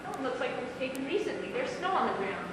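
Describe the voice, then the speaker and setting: An actress playing a worried, exasperated young sister in a live amateur stage play. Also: female voice, high-pitched, sing-song, fast. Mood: curious. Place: small theatre stage, distant microphone. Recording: echoey, noisy theatre recording from far off.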